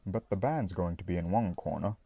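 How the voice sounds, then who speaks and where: A woman's voice: extremely low pitch, brisk, gravelly, dark tone, husky, no echo, pitched below the performer's own voice, full character voice. A woman voicing a lanky, friendly male workman who talks plainly and casually. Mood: decisive. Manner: plain-spoken, casual working-class phrasing.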